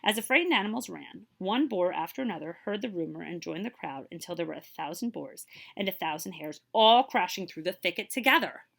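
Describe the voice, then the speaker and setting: Animated, bright-voiced woman reading a storybook. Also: fairly low pitch, brisk, creaky. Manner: expressive, dramatic emphasis with hushed drops. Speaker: librarian reading aloud to children. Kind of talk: animated children's storybook read-aloud with questions to listeners.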